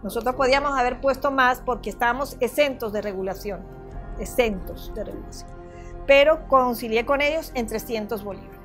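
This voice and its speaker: An older woman from Venezuela telling her own story in an interview, halting and circling back as she goes. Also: a little high, fairly bright tone, faint music behind. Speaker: property owner giving testimony. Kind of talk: personal testimony in an interview.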